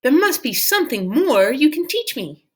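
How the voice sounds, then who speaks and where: A woman's voice: high-pitched, very animated, moderate pace, pitched above the performer's own voice. A woman voicing a restless, ambitious man in a folktale, insistent and emphatic. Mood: impatient.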